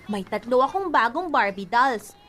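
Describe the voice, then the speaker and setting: A woman's voice: a little high, animated, normal speed, fairly bright tone. A woman playing a bratty, boastful, nosy little girl in a radio drama, with a childlike, needling delivery.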